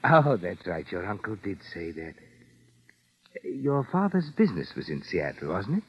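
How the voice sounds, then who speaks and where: A man playing a genial, chatty older lawyer who quietly probes with tag questions, in an old-time radio drama. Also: low-pitched, very animated, normal speed, husky, heavily acted. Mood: genial, probing. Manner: avuncular, repeats himself, trailing tag questions. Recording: muffled old radio recording.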